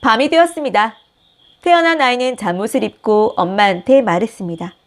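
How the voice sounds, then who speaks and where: A woman host reading a picture book aloud with gentle, expressive storytelling. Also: on the high side, brisk. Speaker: storybook reader, channel host.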